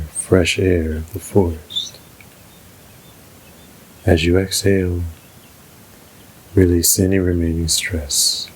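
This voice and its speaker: A man narrating a scripted guided meditation in a soothing, measured, unhurried way. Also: sits low, darkish tone, breathy edge, poor audio.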